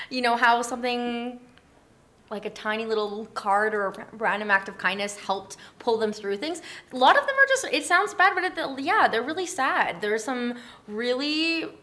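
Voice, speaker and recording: A woman's voice: on the high side, expressive, moderate pace, pushed and bright. Chatty, informal Canadian woman. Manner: giggly, informal, rambling storytelling. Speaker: interview guest, photographer running a letter-writing project. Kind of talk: casual chat.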